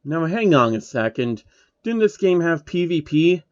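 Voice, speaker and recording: A man's voice: fairly high pitch, big pitch swings, measured pace, darkish tone, dry recording, highs cut off, voice pushed up. A man voicing a cocky, hot-tempered male game streamer from a story, whining and complaining indignantly. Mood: casual.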